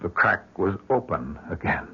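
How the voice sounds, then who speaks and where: An actor playing a middle-aged husband and father, grief-stricken and halting, in an old-fashioned radio drama. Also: low voice, very animated, slight background noise, full character voice. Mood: troubled, baffled. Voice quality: husky, rough. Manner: halting, repeats words under strain. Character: strained, grieving husband and father, baffled, holding himself together. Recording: muffled old radio recording.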